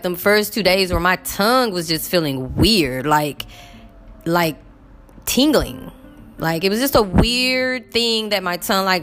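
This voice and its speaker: A woman's voice: mid-range voice, fairly bright tone. A woman in her fifties chatting casually to her viewers, rambling with lots of fillers while getting over a cough. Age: 50s. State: recovering from illness, cough. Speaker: vlogger.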